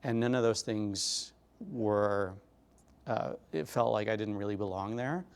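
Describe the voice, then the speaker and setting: Middle-aged guy from glendale, lowish voice, thoughtful, lots of ums and pauses. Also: vocal fry, clear, resonant tone. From Glendale, California. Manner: reflective, measured, with pauses and fillers. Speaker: interviewee. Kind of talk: oral history interview.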